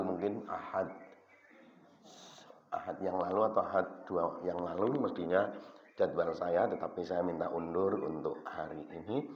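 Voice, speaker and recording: A middle-aged man gives an Islamic study sermon, with a measured, halting delivery and a cough and cold. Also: low-pitched. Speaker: religious teacher. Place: prayer room. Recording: echoey room, dull lo-fi sound, faint noise.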